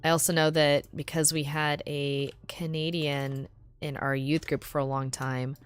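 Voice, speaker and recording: A woman's voice: low-pitched, little pitch movement, measured pace, smooth, soft background music. A woman from California chatting casually on a stream, playful and curious, laughing often.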